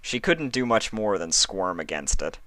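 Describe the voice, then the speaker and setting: A man's voice: deep voice, very animated, moderate pace, pushed and bright, no echo, studio quality. A man reading scripted story narration as the narrator. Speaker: narrator of fan fiction.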